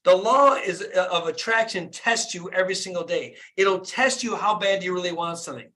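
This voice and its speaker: A man in his fifties from Michigan, a dentist hosting a live motivational call in an energetic, hyped-up way, often asking his listeners "right?". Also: high-pitched, normal speed. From Flint, Michigan, USA. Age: 50s. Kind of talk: motivational live-stream talk.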